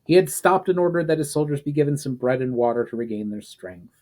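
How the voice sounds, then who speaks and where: A man's voice: mid-range voice, animated, fast, a little mellow. A man giving a dramatic scripted narration of a fantasy story as a storyteller. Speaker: author and storyteller.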